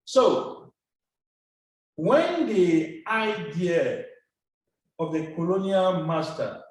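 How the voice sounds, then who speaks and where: Male seminar speaker from Cameroon, slightly high voice, measured formal delivery, picked up from afar in a roomy hall. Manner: slow, deliberate, formal phrasing. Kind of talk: lecture presentation. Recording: echoey hall from a distance.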